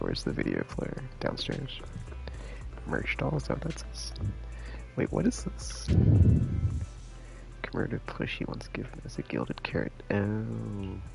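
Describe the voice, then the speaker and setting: A man chatting casually on a live game stream in a laid-back, slangy, rambling way, thinking out loud, with a gravelly voice. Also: low voice, rough recording. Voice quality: gravelly, creaky.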